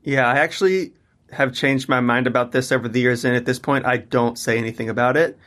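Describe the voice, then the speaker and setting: A man's voice: mid-range voice, brisk, a bit bright. A man in his thirties or forties, a musician, chatting loosely in an interview with lots of restarts. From Mississippi, US. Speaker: musician guest. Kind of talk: casual interview conversation.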